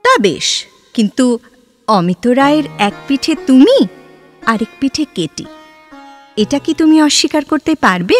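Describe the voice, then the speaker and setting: A woman playing a dreamy, playful, free-spirited young heroine in a literary audio drama, lyrical and full of dramatic pauses. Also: sits high, expressive, faint music behind. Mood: probing. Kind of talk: Bengali audio drama dialogue.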